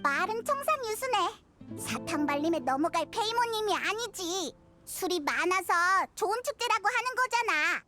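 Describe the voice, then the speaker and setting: A woman's voice: very high-pitched, expressive, moderate pace, over music. A woman voicing a small, chatty floating fairy companion in an anime game, childlike and boastful. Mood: skeptical, teasing. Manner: childlike, boastful, refers to self in third person. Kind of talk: Korean anime game voice acting.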